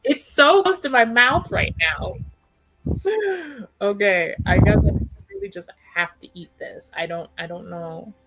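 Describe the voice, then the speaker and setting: A woman chatting and loosely narrating as a tabletop roleplay player, casual and halting, often repeating herself. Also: mid-range voice, lively intonation, a bit bright, slight background noise, poor audio.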